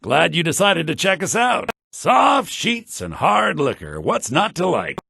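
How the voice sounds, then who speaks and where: A sly fantasy game townsman, full of himself and sardonic. His voice is sharp and gravelly, swinging widely in pitch as he delivers each line theatrically. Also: sing-song, unhurried, no room sound, clean studio sound, heavily acted. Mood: welcoming, salesmanlike. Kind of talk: scripted fantasy video game dialogue.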